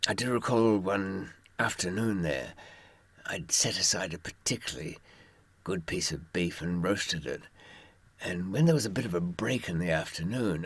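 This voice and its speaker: A man's voice: low-pitched, gravelly, a bit bright, slightly rough, no room sound. An older man, telling anecdotes and giving advice from experience in a wry, droll raconteur's manner. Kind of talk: anecdotal storytelling to listeners.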